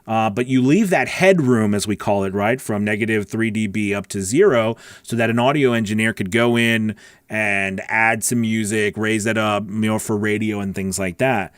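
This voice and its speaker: A man's voice: fairly low pitch, moderate pace, dry recording, clean studio sound. A middle-aged man hosting a casual livestream, chatty and rambling in an informal, friendly way as he answers viewers. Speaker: host, voice-over coach. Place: small home recording studio. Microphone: close broadcast mic on boom arm.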